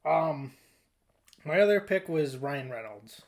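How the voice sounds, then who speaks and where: A man's voice: fairly high pitch, animated, slow, creaky. Casual man chatting on a podcast. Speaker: podcast host.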